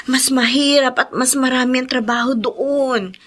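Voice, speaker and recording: Dutiful big sister in radio drama: young, high and sharp, earnest, anxious. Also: female voice, fairly even intonation, a little slow. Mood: worried, resolute. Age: young adult. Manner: pleading, earnest. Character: dutiful, worried young elder daughter. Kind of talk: Filipino radio drama acting.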